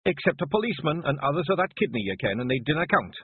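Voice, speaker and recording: A man doing Rob Anybody, a gruff Scottish fairy chief. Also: on the high side, big pitch swings, quick pace, voice pushed up, full character voice. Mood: subdued. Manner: gruff, blustering, broad dialect. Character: small brawling fairy clan chief, man, brooding. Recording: phone-like narrow band.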